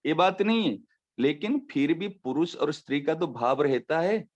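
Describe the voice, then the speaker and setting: A man giving a devotional discourse as a spiritual teacher, explaining with everyday examples and slowly repeating his key phrases. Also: fairly high pitch, lively intonation. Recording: dull lo-fi recording.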